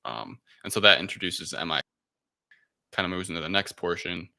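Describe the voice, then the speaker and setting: A man with a deep voice that has a sharp, very bright edge. He talks as a podcast host in a casual recap, improvising his retelling loosely and dropping in quoted asides. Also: lively intonation, normal speed, gravelly, no room sound. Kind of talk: casual film recap chat.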